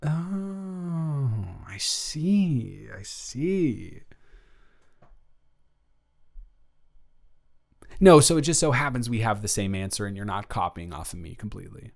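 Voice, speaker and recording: A man playing a smitten, teasing young man in an improvised romantic audio roleplay, speaking in a bantering, halting way. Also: medium pitch, sing-song. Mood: tender, teasing. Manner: bantering, halting, with stammers and breathy laughs. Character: smitten, teasing young man confessing love to his best friend.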